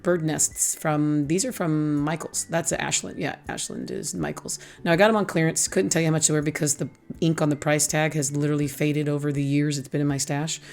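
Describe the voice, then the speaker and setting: A middle-aged woman with a low, raspy voice, hoarse from a lingering illness, talks through a craft tutorial. She is chatty and pokes fun at herself as she goes. Soft music plays faintly behind her. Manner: chatty, self-teasing, laughs at her own jokes. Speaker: craft channel host. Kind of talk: casual craft tutorial voice-over.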